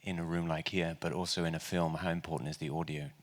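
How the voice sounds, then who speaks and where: A man in the audience asks a slow, hesitant question in a loud voice. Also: sits low, little pitch movement. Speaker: audience member asking a question. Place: large lecture hall. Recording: long reverb of a large hall.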